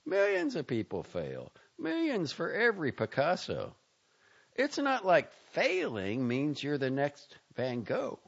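A man voicing a blunt, exasperated young man who lectures his friend loudly and impatiently. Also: fairly high pitch, wildly swooping pitch, measured pace, gravelly, slightly rough, muffled recording, voice pushed up, exaggerated voice. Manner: loud, sharp and impatient.

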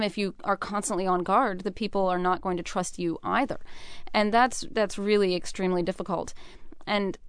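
A woman's voice: medium pitch, clean tone, no room sound, studio quality. A young American woman giving earnest, thoughtful and articulate answers as an interview guest. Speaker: interview guest, military veteran.

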